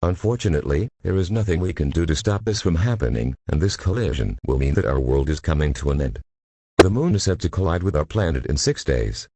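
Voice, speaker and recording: A man reading a formal emergency broadcast announcement in a solemn, measured, impersonal way. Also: very deep voice, expressive, mellow, slightly rough, no echo.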